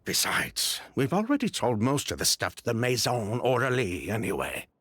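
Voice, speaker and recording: A man playing a guarded, smooth-talking merchant in a video game, theatrical and formally polite with some condescension. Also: medium pitch, wildly swooping pitch, moderate pace, fairly bright tone, slight background noise. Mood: resigned, bitter.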